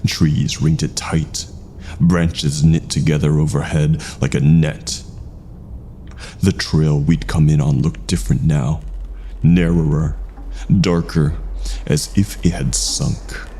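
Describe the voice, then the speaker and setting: A man narrating a scripted horror story in a dramatic, suspenseful way with drawn-out pauses. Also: rumbling low, flat delivery, warm tone, slight background noise.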